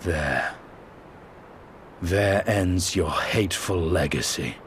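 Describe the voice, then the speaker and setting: Deep, slow, grim warrior. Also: male voice, a bit bright, a little hiss or hum. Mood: dry menace. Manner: terse, dry, measured. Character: grim, sardonic dragon-slaying lancer warrior. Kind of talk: dramatic video game voice acting.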